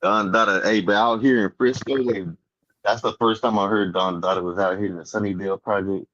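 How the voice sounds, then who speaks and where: Low-voiced Bay man, lo-fi. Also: steady tone, a little fast, fairly bright tone. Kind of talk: casual livestream chat. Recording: dull lo-fi stream audio.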